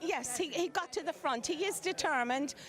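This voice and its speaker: A woman with a high voice gives a post-race interview. She speaks haltingly, repeating words as she goes. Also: fairly bright tone. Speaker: greyhound connection being interviewed.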